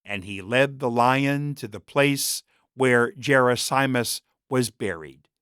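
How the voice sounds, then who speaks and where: A man reading a story as a narrator, in a measured and expressive scripted style. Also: medium pitch, smooth, dry recording, clean studio sound.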